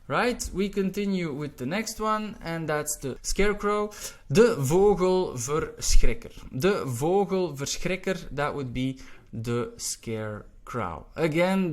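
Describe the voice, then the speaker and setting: A Belgian man with a Flemish accent, a Dutch speaker teaching pronunciation in English, patient and repeating each word slowly. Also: on the high side. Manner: patient, repeats words slowly, says "all right" often. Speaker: language teacher. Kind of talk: pronunciation lesson.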